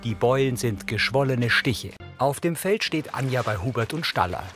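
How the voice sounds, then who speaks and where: Male audio-description narrator for crime drama, measured neutral read, clear music bed. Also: medium pitch, expressive, a bit bright. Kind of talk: scripted narration of a TV crime series.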